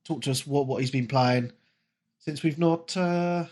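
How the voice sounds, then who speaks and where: A man's voice: mid-range voice, unhurried, darkish tone. A man in his late thirties hosting a gaming podcast, stuffed up with a cold and talking chattily with plenty of ums. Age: 30s. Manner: chatty, self-mocking, frequent ums. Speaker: podcast host. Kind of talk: casual gaming podcast chat.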